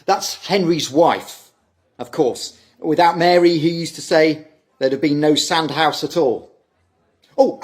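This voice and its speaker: A man playing a welcoming, showy visitor-centre manager in an audio drama, effusive and presentational like a tour guide. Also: fairly high pitch.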